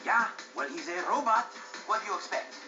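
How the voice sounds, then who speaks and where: A man's voice: sits high, animated, fairly quick. A man voicing a wisecracking talking bird who co-commentates races in a video game, dry and snappy. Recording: dull audio under music.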